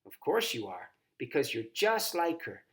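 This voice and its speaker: Man acting old grandmother, stern, insistent, scolding, storybook character, pitched a little up. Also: very animated, a little slow, fairly bright tone. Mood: stern, bitter.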